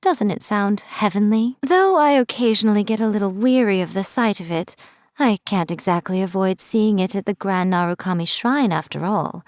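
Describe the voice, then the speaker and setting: A dry, close recording of a sly fox-spirit shrine maiden from a video game, acted by a woman. She speaks at a medium pitch with theatrical self-assurance. Her delivery is playful and haughty, always teasing. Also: a little mellow, no room sound, close to an everyday voice. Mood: haughty, amused. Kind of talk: scripted video game character voice lines.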